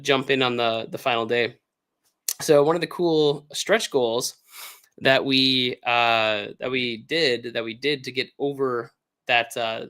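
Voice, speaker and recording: A man with an American accent, hoarse from illness, chatting casually and upbeat as the host of a livestream Q&A, with lots of fillers. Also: medium pitch, fairly bright tone. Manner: rambling, filler-heavy, upbeat. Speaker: author hosting a livestream Q&A.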